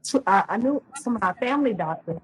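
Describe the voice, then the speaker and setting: Podcast guest: woman, 30s, husky tone, rambling and conversational. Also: medium pitch, a little fast, rough recording. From Louisiana, US. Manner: chatty, animated storyteller with many ums and restarts. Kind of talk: casual storytelling interview.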